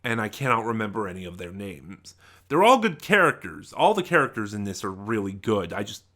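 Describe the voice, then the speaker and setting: Bright-voiced man, halting and rambling. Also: fairly low pitch, very animated. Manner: halting, rambling, trailing off mid-thought. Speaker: YouTube reviewer. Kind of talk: unscripted audio drama review, casual talk to viewers.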